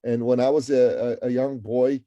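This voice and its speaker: A middle-aged man from Iran speaks as an interview guest, his voice mellow and dark. He talks with energy and emphasis, stacking phrases and repeating words as he builds his point. The sound is dry and close, with little room. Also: medium pitch, steady tone, moderate pace, dry recording, lo-fi sound. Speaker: guest, author and entrepreneur. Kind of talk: interview conversation.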